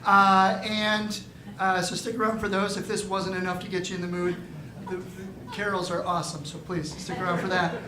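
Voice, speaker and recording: A man's voice: sits high, flat delivery, moderate pace. A man giving a casual, upbeat curtain-call announcement to a theatre audience. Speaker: member of theatre company giving curtain speech. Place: small live theatre with audience. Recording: roomy hall, loud audience noise.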